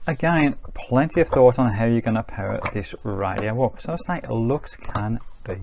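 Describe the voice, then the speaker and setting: A man's voice: mid-range voice, normal speed, creaky, noisy background. A man giving a casual hands-on gadget review in a chatty, unscripted, explanatory way. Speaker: product reviewer.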